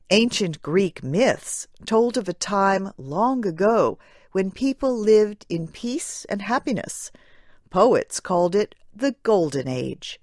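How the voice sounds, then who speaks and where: A woman narrating a scripted English lesson in a measured, clearly phrased way for learners. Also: mid-range voice, dry recording, clean studio sound.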